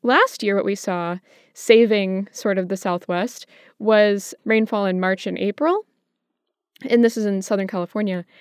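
An American woman co-hosting a podcast, explaining things in a measured, conversational way. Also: mid-range voice, steady tone, clear, resonant tone, no echo, clean studio sound. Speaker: podcast co-host.

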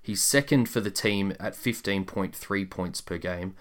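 A man with a fairly low voice talks as a podcast co-host. His delivery is casual and conversational, as if working out his thoughts while he speaks. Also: a little slow, fairly bright tone. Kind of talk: casual basketball podcast chat.

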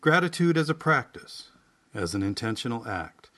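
A man hosting solo in a folksy, unhurried way, like a writer sharing his own work. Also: medium pitch, big pitch swings, fairly bright tone. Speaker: podcast host and writer. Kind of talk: casual monologue and reflective essay reading.

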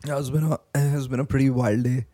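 A man being interviewed as a guest, answering in a halting way with many fillers, his voice worn from days of talking. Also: mid-range voice, little pitch movement, warm tone. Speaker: guest, blockchain project team member. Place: conference venue.

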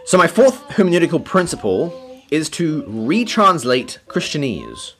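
A man preaching a teaching sermon, explaining scripture in a measured, explanatory way. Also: medium pitch, a bit bright. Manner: explanatory, measured, occasional light laugh.

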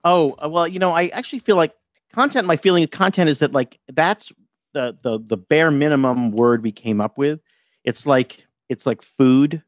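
A man's voice: on the high side, normal speed, no room sound. A man guest on a podcast, chatting casually and self-deprecatingly with many fillers while a little dizzy from illness. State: slightly dizzy, recovering from illness. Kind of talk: casual interview chat.

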